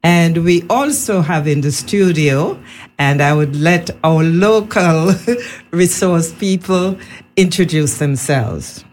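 A woman with a Caribbean accent hosting a live radio panel in a measured, deliberate, formal way. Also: lowish voice, a little mellow. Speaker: radio host. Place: radio studio. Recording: faint background noise.

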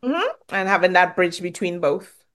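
A woman with a Trinidadian accent hosting a casual interview, chatty and full of fillers and quick affirmations. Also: fairly low pitch, very animated, fairly bright tone.

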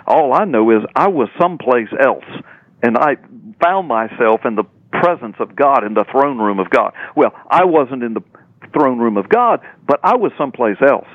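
A man's voice: medium pitch, expressive, normal speed, dry recording. An older man telling a personal story as a radio guest, emphatic and dramatic, often repeating phrases for effect. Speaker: radio guest, preacher and author. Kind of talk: animated personal storytelling in an interview. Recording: dull, narrow radio line, dry.

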